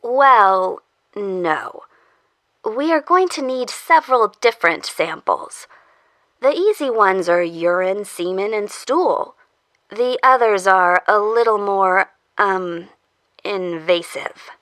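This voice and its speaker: Woman acting a bright, clinical researcher. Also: mid-range voice, lively intonation, slow pace, dry recording. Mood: hesitant, clinical. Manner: matter-of-fact, with delicate hesitations. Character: clinical, persistent woman researcher studying zombie infection. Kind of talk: audio fiction acting.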